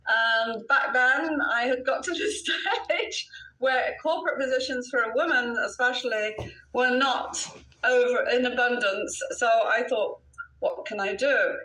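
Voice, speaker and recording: Older brit lady, welsh-born but sounds english, bright voice, echoey room, chatty and sure of herself. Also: on the high side, a little slow. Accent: English-sounding British. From Wales. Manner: chatty, self-assured, laughs often. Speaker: real estate broker, guest. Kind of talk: interview answers.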